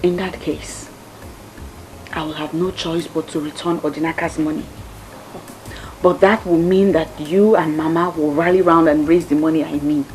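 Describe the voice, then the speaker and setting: A woman's voice: low-pitched, fairly even intonation, moderate pace, darkish tone, a little hiss or hum, lightly acted, poor audio. A woman playing an earnest young daughter who reasons with her parents.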